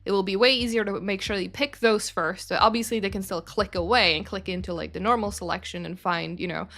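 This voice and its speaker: A woman's voice: mid-range voice, fairly even intonation, fast talker, a bit bright. Chatty woman hosting a game stream. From Sweden. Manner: chatty, rambling, frequent fillers and self-corrections. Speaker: community manager hosting a game studio stream. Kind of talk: casual livestream chat reading viewer questions.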